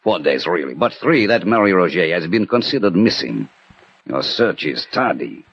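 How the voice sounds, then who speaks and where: An actor playing a grave, probing detective in a radio drama, formal, deliberate and coolly authoritative. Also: male voice, low-pitched, very animated, fairly bright tone, breathy edge, no echo, exaggerated voice. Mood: probing, stern. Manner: formal, deliberate, terse questioning. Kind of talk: 1960 radio drama acting. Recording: dull old radio broadcast with music.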